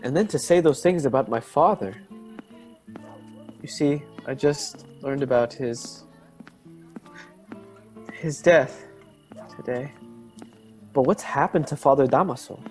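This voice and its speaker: An actor playing an earnest, courteous young gentleman in a radio drama, troubled and polite. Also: mid-range voice, takes it slowly, pauses often, soft background music, lightly acted, low-quality recording. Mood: shaken, earnest.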